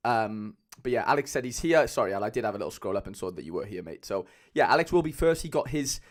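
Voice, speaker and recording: A young man with a Cardiff accent chatting casually as a livestream host, loose and rambling with lots of fillers, speaking into a mic on a boom arm at home. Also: expressive, quick pace. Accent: Cardiff Welsh. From Wales. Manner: chatty, loose, many fillers, calls viewers lads.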